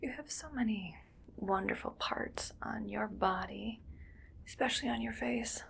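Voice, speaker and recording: A woman playing an eerily calm, gleefully morbid mad scientist in an ASMR roleplay, speaking in a hushed, breathy, musing whisper. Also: medium pitch, a little hiss or hum. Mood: softly menacing. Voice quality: breathy whisper with soft creaky voicing. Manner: slow, hushed, musing to herself.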